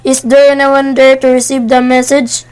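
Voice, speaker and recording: Girl playing telegram office clerk, high pitched, polite formal reading, amateur radio play. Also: little pitch movement, normal speed, a little mellow, natural voice. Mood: formal, courteous. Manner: formal, courteous.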